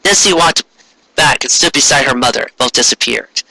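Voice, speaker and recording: A man's voice: mid-range voice, moderate pace, creaky, bright, forceful tone, husky, low-quality recording. A man reading fan fiction aloud as comic, dramatic narration in a theatrical manner. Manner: theatrical, performs many character voices.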